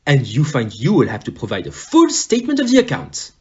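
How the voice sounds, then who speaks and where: Casting: actor as pompous sleuth, composed and courteous, very animated pitch, audio drama, muffled lo-fi sound. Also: male voice, brisk. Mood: probing. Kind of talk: amateur audio play acting.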